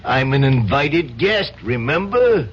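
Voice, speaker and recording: An American actor playing a tough, loyal, shady buddy with slangy, chummy talk in a radio drama. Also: male voice, mid-range voice, big pitch swings, takes it slowly. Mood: amused, chummy. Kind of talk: 1970s radio drama acting. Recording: muffled old radio broadcast, faint hiss.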